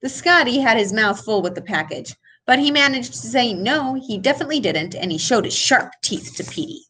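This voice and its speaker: A woman reading a children's picture book aloud in an expressive way, with dramatic emphasis. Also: fairly high pitch, a little fast, breathy edge, low-quality recording. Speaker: storybook reader. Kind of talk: animated children's read-aloud.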